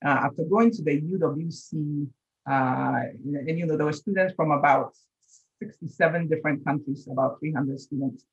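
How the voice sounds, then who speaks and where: Husky Ghanaian-born professor, dull call audio. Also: male voice, fairly high pitch. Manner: measured, explanatory, stutters on repeated words. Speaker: professor, guest. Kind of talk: interview answers.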